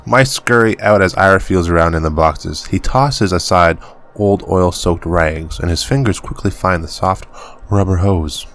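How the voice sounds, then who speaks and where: Deep-voiced man narrating a game. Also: fairly bright tone. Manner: halting reading, stumbles over words and laughs it off. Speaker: gaming channel host. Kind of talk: reading game text aloud as narration.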